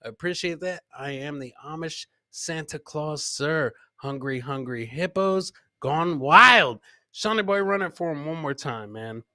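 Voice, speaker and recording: A man's voice: fairly high pitch, lively intonation, unhurried, bright, forceful tone, dry recording, clean studio sound. A man hosting a live comedy podcast, giving casual, rambling commentary on clips and repeating his catchphrases.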